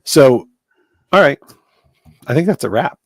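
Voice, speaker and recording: A man's voice: medium pitch, expressive, unhurried, no room sound. A man hosting a casual podcast chat from New Jersey, joking and rambling with lots of fillers. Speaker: host.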